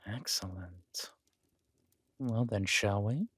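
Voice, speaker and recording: A man playing a regal, wry, menacing villain king in a roleplay audio drama, speaking in a measured, intimate, smugly theatrical way. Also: lowish voice, fairly bright tone, breathy edge, no room sound, full character voice. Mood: flirtatious, teasing.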